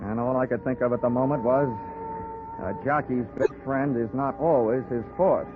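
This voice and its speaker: An actor playing a tough, wisecracking private investigator with a dry, hard-boiled 1940s radio delivery. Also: male voice, medium pitch, normal speed, loud music behind the voice, natural voice. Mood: grim narrating. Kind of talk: 1940s radio acting with scripted narration. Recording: narrow-band old radio recording.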